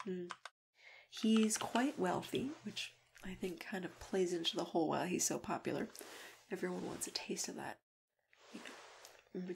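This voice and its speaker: Slow, whispery ASMR woman over typing. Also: mid-range voice. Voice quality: soft, often dropping into a whisper. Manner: gentle, unhurried, confiding, with pauses and murmured acknowledgements. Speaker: ASMR performer role-playing a matchmaker. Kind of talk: soft-spoken ASMR role-play chat. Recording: clear background noise with keyboard typing. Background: typing and clicking.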